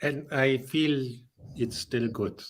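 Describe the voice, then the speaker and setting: A man co-hosting a podcast, chatty and quick to react with exclamations. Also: medium pitch. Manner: chatty, laughs often, expressive. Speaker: podcast co-host. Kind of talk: casual chat.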